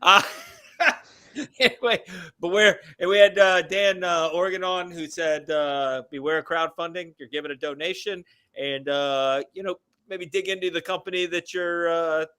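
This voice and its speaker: Bright-voiced joking man in his forties. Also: on the high side, steady tone, normal speed, clean tone, dry recording. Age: 40s. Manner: joking, crude, rambling. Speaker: podcast co-host. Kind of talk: casual banter.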